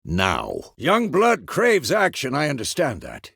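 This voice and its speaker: Gruff old monster hunter mentor for a fantasy game, gravelly, swings a lot in pitch, super dry close recording. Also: male voice, wildly swooping pitch, a bit bright, no echo, exaggerated voice. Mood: grave, measured. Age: older. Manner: gruff, measured, instructive. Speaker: video game voice actor. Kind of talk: video game character dialogue.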